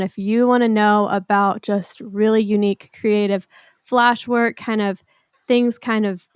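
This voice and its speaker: A woman's voice: on the high side, smooth, no room sound, studio quality. A woman in her twenties hosting a podcast, chatting casually and rambling enthusiastically about her guest. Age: 20s. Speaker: podcast host, wedding photographer. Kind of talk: casual interview chat.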